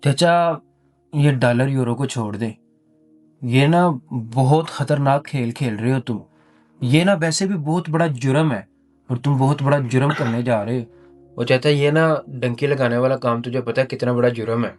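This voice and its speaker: A man's voice: medium pitch, normal speed, darkish tone, faint music behind, lightly acted. A man playing a frank younger neighbour who admonishes earnestly in a dramatised moral story. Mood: earnest warning. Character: frank, cautioning younger plot buyer, concerned neighbour.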